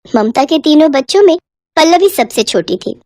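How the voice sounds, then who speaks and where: A woman narrates a scripted story in a high-pitched voice. The recording is dry and close, with no room sound. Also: animated, moderate pace, a little mellow, no room sound.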